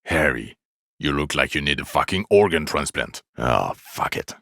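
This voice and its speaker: A man's voice: rumbling low, expressive, normal speed, vocal fry, sharp tone, no echo, heavily acted. A man voicing a jaded, foul-mouthed police detective in a video game, with dry, biting sarcasm and exasperation. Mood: weary, reproachful. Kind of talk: video game character voice acting.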